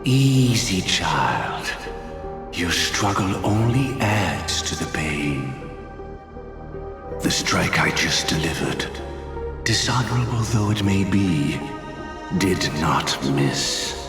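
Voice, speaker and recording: An actor playing a solemn, ruthless schemer in a video game, speaking in grave, grandiloquent, formal phrases. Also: male voice, sits low, expressive, slow pace, exaggerated voice. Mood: cold, solemn. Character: solemn, ruthless older male schemer of lofty purpose. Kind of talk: theatrical video game voice acting. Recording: echoey, music underneath. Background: dramatic game music.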